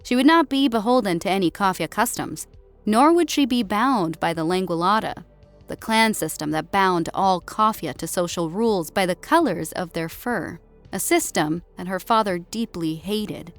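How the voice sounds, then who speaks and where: A woman's voice: mid-range voice, lively intonation, moderate pace, faint music behind. A woman narrating a scripted science fiction story as an audiobook.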